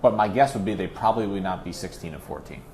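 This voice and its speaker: A man's voice: sits low, fast talker, a little mellow, slight background noise. A man working as a radio play-by-play announcer, talking sports as a guest in an emphatic, conversational way with rhetorical questions. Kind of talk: animated sports discussion.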